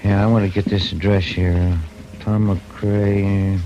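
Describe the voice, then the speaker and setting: Actor as low-voiced American detective partner, muffled. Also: male voice, little pitch movement, unhurried, natural voice. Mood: matter-of-fact. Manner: matter-of-fact, casual. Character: plain-spoken male police detective, the partner. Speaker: supporting actor in a radio police drama. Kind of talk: 1950s radio acting, naturalistic dialogue. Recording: muffled, noisy 1950s radio recording.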